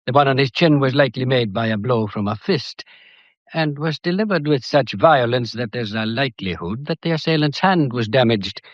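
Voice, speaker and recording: An actor playing a genial, fatherly Scottish police inspector who questions courteously and gently in a mystery audio drama. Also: medium pitch, lively intonation, normal speed, no room sound, highs cut off, close to an everyday voice. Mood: measured, probing.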